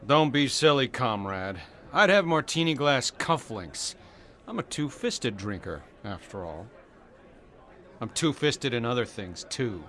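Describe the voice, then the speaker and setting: An actor playing a spoiled, boastful, hard-drinking rich heir in a radio drama, with a petulant, cocky way of talking. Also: male voice, mid-range voice, expressive, measured pace, a bit bright, some chatter behind. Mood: cocky, mocking. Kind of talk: Cold War radio drama acting.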